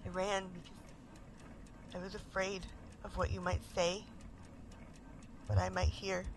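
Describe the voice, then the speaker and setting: An actress playing a haunted, restless woman in an audio drama monologue, halting, intense and emotional. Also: fairly low pitch, little pitch movement, background noise, close to an everyday voice. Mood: anguished, determined. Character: haunted, restless woman desperate to recover her lost identity.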